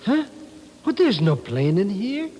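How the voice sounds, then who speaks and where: An actor playing a wheedling, nervous comic petty-crook sidekick in a vintage radio drama, whiny and fawning. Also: male voice, sits high, big pitch swings, measured pace, a little mellow. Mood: nervous, reluctant. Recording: noisy, crackly vintage radio recording.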